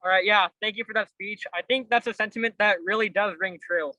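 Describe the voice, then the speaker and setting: A man's voice: high-pitched, fairly even intonation, fast, pushed and bright, a little hiss or hum, low-quality recording. A man emceeing an open mic at a vigil, speaking in an impassioned, articulate way.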